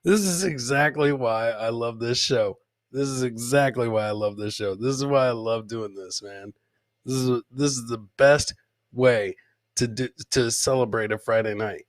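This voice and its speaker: A man's voice: medium pitch, moderate pace, dry recording. A man hosting a comedy podcast, chatting casually and bantering with viewers in informal, profane language. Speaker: podcast host. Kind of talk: casual comedic livestream chat.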